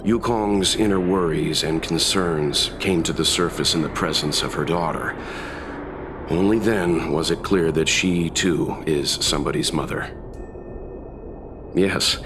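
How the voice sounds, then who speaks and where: A male actor playing a polite, reflective traveler with gentle dry humor in a video game. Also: deep voice, noisy background. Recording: music behind the voice.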